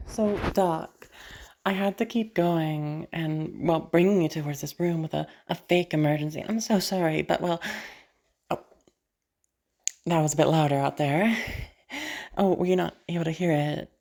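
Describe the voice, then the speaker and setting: A woman playing a deranged, possessive asylum patient in a roleplay audio drama, teasing and halting as she talks, with casual swearing. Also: low voice, fairly even intonation, vocal fry, a little mellow. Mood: playfully unhinged. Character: deranged female asylum patient, possessive, flirty, playfully menacing.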